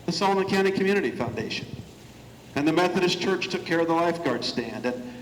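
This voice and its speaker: A man's voice: fairly high pitch, expressive, quick pace, a little hiss or hum. Emphatic Indiana man in an echoey hall. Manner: emphatic, punchy declarations. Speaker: guest speaker. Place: banquet hall.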